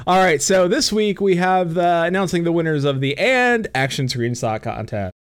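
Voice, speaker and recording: A man's voice: a little high, lively intonation, normal speed, smooth, no room sound, clean studio sound. A young man co-hosting a casual gaming podcast over a voice call. He giggles a lot, swears freely and uses many fillers. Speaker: podcast co-host. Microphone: computer mic on voice call.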